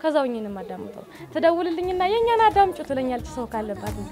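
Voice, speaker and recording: A woman's voice: high voice, big pitch swings, racing, over music, a crowd in the background. A woman who listens to radio drama, chatting casually about it. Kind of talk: casual chat.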